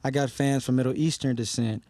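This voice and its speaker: A man's voice: mid-range voice, fairly even intonation, fairly quick, mellow, no echo. A young man from Detroit, a rapper guest on a podcast, talking casually with heated, emphatic swearing.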